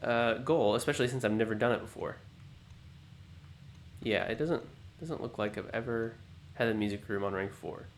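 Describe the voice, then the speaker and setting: A man hosting a let's play, thinking aloud in an unhurried, musing way, his voice low and groggy from just waking up. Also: slight background noise. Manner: musing, unhurried, self-talking. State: low morning voice, just woken up. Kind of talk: thinking-aloud gameplay commentary.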